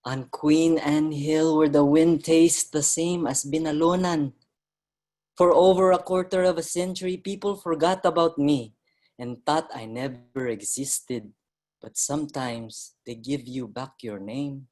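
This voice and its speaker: The restless ghost of an immigrant writer played by a man tells his story in a staged monologue. He speaks slowly, addressing the listener directly and drawing them in. Filipino words slip into his speech. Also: on the high side, fairly even intonation, darkish tone, natural voice. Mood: inviting. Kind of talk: theatrical monologue in a staged reading.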